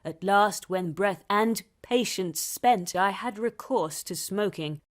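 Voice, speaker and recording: A woman with an English accent narrates comic verse at a very unhurried pace. Her delivery is deliberate and theatrical, as a dramatised poetry reading, in a mid-range voice. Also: medium pitch. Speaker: reader, narrator.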